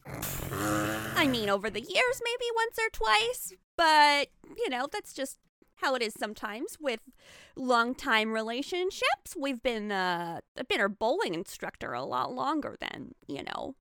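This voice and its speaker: A woman's voice: sits high, wildly swooping pitch, unhurried, gravelly, bright, forceful tone, no echo, studio quality, exaggerated voice. A woman playing a cagey male bowling instructor who stammers and dodges questions. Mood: flustered. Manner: hesitant, stammering, evasive.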